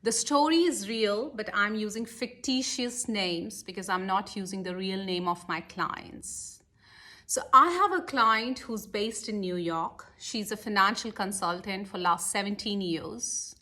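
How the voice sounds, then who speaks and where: A woman's voice: medium pitch, lively intonation, a little slow, sharp tone. A middle-aged woman with an Indian accent, speaking emphatically and directly to listeners as a life coach telling motivational stories. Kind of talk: motivational talk with storytelling.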